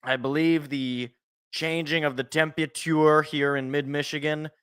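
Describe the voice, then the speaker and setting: An American man with a slightly raspy, congested voice, hosting a casual solo sports talk show in a rambling, jokey way that repeats phrases for emphasis. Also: a little high, level tone, moderate pace, fairly bright tone. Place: indoors at home.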